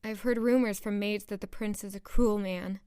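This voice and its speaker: An actress playing an earnest, nervous young palace maid who narrates and speaks in a first-person audio drama. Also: female voice, mid-range voice, steady tone, moderate pace, dark tone, clear, resonant tone, dry recording, studio quality, natural voice. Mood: curious.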